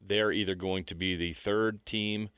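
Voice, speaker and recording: Man, sports co-host, deep pitch, crisp forceful tone, casual talk. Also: fairly even intonation, a little slow, no echo, studio quality.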